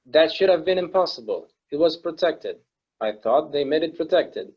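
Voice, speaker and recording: Actor as distraught male bystander, fairly high voice, stage-play read, muffled home recording. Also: lively intonation, moderate pace, breathy edge. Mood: horrified.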